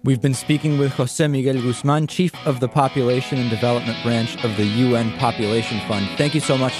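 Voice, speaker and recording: A man's voice: medium pitch, steady tone, brisk, loud music behind the voice. A man speaking as a talk show host, conversational as he interviews and comments.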